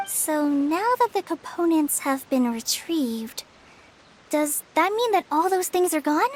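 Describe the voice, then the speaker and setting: A woman voicing an innocent, cheerful little girl full of wonder, with a childlike, drawn-out delivery in a video game. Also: high-pitched, sing-song, a little hiss or hum. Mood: curious. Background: music.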